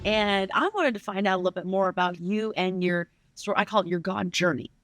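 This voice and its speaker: A woman's voice: medium pitch, fairly quick, soft background music. A woman hosting a casual conversational interview in a chatty, encouraging way. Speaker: interview show host.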